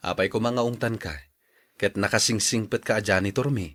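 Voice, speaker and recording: A man acting a calm, kind-hearted office worker and patient husband in an Ilocano audio drama, easygoing and reassuring. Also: fairly low pitch, measured pace. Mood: friendly, generous.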